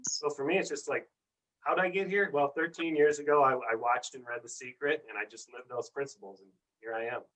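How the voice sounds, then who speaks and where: A man's voice: mid-range voice, fairly even intonation, fairly quick, a bit bright, far from the mic, rough recording. A man telling his own story as a guest in casual chat, earnest and rambling, stumbling over repeated words.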